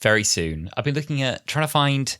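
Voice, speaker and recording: A man in his twenties co-hosting a podcast, chatting casually and telling stories in an animated, chatty way. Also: medium pitch, brisk, no room sound, clean studio sound. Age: 20s. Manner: chatty, animated storytelling with acted-out asides. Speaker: podcast co-host. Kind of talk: casual chat with a friend.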